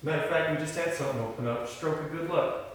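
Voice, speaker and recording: A man's voice: a little high, fast talker, close to an everyday voice. A man reading the part of an eagerly polite farm innkeeper in a film script. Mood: eagerly welcoming. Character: Trevor, smooth over-polite male farm bed-and-breakfast owner. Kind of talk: table read of a film script. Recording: echoey room from far off, faint noise.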